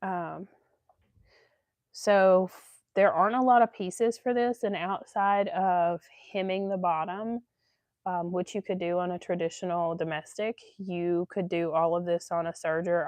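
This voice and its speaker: A woman's voice: on the low side, a little mellow, no echo. A woman hosting a casual sewing livestream, stuffy from allergies, chatting along with lots of ums. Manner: chatty, rambling with many ums. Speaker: sewing channel host.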